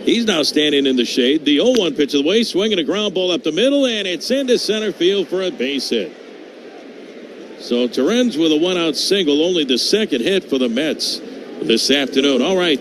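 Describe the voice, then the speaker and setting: A man calling live baseball play-by-play for radio, lifting his voice on the action and settling back between pitches. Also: expressive, moderate pace. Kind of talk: live radio sports commentary. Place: open-air ballpark broadcast booth. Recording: clear outdoor ballpark noise behind.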